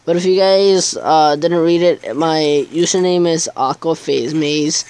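Slow, rambling teenage boy gamer. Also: a little high, fairly even intonation, a little mellow, clean tone. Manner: rambling, hesitant, many fillers. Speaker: gaming YouTuber. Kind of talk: casual gameplay commentary.

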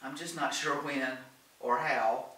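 Male narrator as troubled evasive son, storybook character, reluctant, placating, bright, distant mic. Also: mid-range voice, steady tone, takes it slowly, reverberant. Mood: apologetic.

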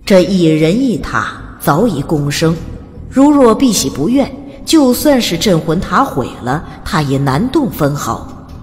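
A woman narrates a fantasy audio drama in a low, expressive voice with wide swings of intonation. Her delivery is scripted and dramatic. There is long reverb on the voice. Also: measured pace. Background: faint music.

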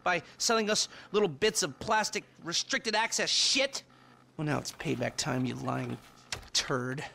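A man's voice: fairly high pitch, a little slow, creaky, sharp tone, heavily acted. An American actor in a video game playing a sardonic, wordy, foul-mouthed hacker in his forties. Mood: irate ranting. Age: 40s. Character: bitter, foul-mouthed, nerdy middle-aged male hacker. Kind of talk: video game cutscene acting.

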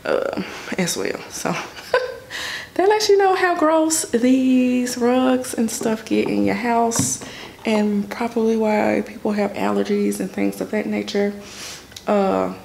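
A woman's voice: mid-range voice, slow pace, vocal fry, a little mellow. A woman, casually narrating her cleaning as a vlogger in a garage. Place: home garage. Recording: noisy home camera recording.

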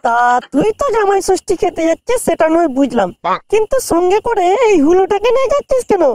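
An actor voicing a mocking, foul-mouthed young man in a cartoon, with a pitched-up voice. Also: expressive, fast, background noise. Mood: taunting. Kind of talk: Bengali comedy cartoon dubbing. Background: background music.

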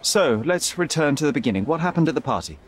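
A young detective, acted by a man, interrogates in a video game with a somewhat higher voice and strongly varied intonation. He is cold, righteous and sardonic, clipped and formal, over a faint background noise. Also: animated, fast talker, lightly acted. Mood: curt, questioning. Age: young adult. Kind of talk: video game cutscene acting.

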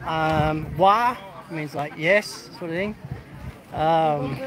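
A man's voice: on the high side, very slow. An Australian man of middle age chatting casually on a livestream at an outdoor football carnival, rambling with many fillers and restarts as he greets viewers. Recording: crowd noise behind.

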